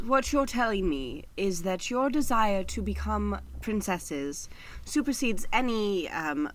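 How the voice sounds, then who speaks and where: A sly, stately evil queen, acted by a woman in a comedy audio drama. She speaks slowly and coaxingly, with falsely sweet menace and a lively, rising and falling melody. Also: mid-range voice.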